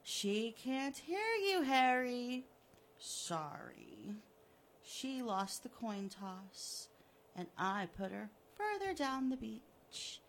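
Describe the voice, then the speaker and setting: A woman's voice: fairly high pitch, very animated, very slow, a little hiss or hum, voice pushed up, heavily acted. A woman doing a gloating, sadistic male killer. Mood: taunting. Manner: mocking, softly menacing, drawn out.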